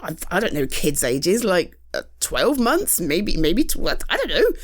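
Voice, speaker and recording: A woman's voice: on the low side, big pitch swings, unhurried. A British woman in a casual roleplay monologue, chatty and rambling, reassuring a friend with self-deprecating humor and casual swearing. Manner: swears casually, laughs often. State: tired. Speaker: audio roleplay performer.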